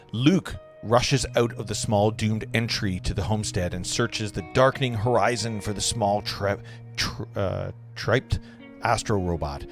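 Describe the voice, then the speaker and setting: Male narrator, lowish voice, reading scene directions with occasional stumbles over faint music. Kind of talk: reading stage directions at a table read.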